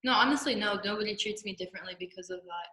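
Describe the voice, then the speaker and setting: A young woman with a bright, clear-cut voice gives a casual interview. She is upbeat and informal, and the roomy space puts an echo on her speech. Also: medium pitch, rapid-fire. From Jordan. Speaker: podcast guest. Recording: roomy, echoey podcast room.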